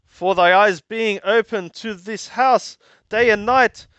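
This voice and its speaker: A man reading scripture aloud and translating it phrase by phrase in a deliberate, halting manner, often repeating and rephrasing. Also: high-pitched, a bit bright, clear, resonant tone, dry recording, muffled recording.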